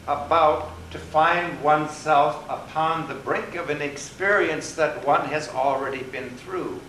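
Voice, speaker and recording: A man voicing a wise, wry folktale rabbit with a questioning, deliberate, philosophical manner. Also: a little high, voice pushed up. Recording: roomy, distant mic with faint noise.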